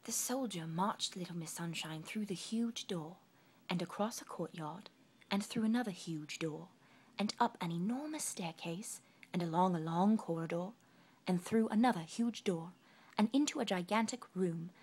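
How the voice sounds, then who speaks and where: A woman narrating a children's storybook expressively, in a hushed, deliberate storytelling manner. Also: fairly low pitch, breathy edge. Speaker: storybook reader.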